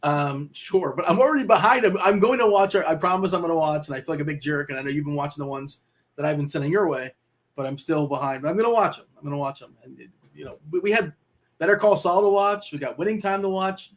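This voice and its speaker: Fast talking guy, kinda high voice, chatty cohost on a betting stream. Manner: chatty, laughs easily, rambles with fillers. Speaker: betting show co-host. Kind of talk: casual live-stream chat.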